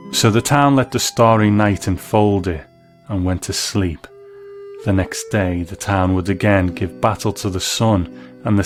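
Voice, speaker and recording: A man with a low voice presents as a host, narrating a scripted commentary on old television and radio. Soft music plays lightly behind him.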